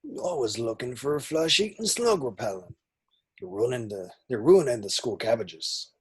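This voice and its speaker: A man playing a gruff, protective giant groundskeeper with a husky voice and a folksy, scolding manner in a script table read. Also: mid-range voice, animated, moderate pace.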